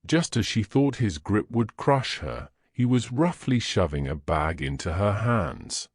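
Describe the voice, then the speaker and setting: A man narrates a fictional tale in a fairly low voice. His delivery rises and falls freely, and the sound is dry and close. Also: big pitch swings, a little slow, dry recording. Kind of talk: scripted audio fiction narration.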